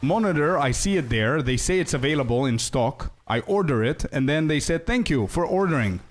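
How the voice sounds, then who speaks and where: A man's voice: a little high, lively intonation, brisk. A young man streaming a game, chatting loosely with his viewers and telling rambling stories. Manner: loose, chatty, talks to chat as "bro", trails into asides. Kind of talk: casual live-stream chat with viewers.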